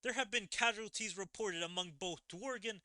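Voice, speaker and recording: A man's voice: high-pitched, normal speed, sharp tone, no room sound, studio quality, pitched above the performer's own voice. A man voicing an urgent, dutiful royal messenger in a game, with a formal way of reporting.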